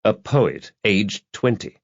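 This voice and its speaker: Male narrator for crime audiobook: lowish voice, very animated intonation, slightly muffled lo-fi recording. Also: slow. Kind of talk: dramatic scripted narration of a crime novel.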